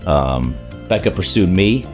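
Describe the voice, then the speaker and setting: Low-voiced man reminiscing over music. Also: expressive, measured pace, a little mellow. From grew up in South Carolina, born in China. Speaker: law school alumnus, interviewee. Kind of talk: conversational interview, reminiscing.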